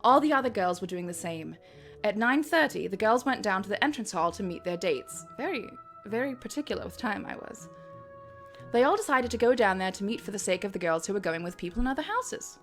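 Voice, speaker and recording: A woman's voice: medium pitch, expressive, a little fast. Female storyteller, dramatic but self-mocking, narrates amateur fiction and comments drily on it, light music underneath. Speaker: reader and host. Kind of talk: dramatic reading of own fan fiction with wry asides.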